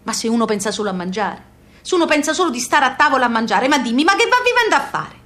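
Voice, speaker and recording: A woman's voice: a little high, very animated, quick pace, a bit bright. A woman playing a stubborn old southern Italian mother in a radio play, telling memories with emphatic repetition and dialect. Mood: reflective, reminiscing. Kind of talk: live radio theatre acting. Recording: live music behind the voice.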